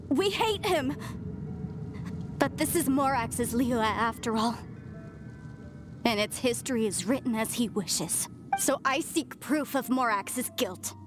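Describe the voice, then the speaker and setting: A zealous young follower of a fallen goddess speaks in a high, earnest voice. She sounds impassioned and devoted. Game music plays underneath her. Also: lively intonation, slow pace, long pauses.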